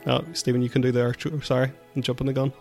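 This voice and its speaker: A man's voice: mid-range voice, flat delivery, rapid-fire, vocal fry, darkish tone, faint music behind. A man co-hosting a podcast, chatting and bantering casually with the others. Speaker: podcast co-host.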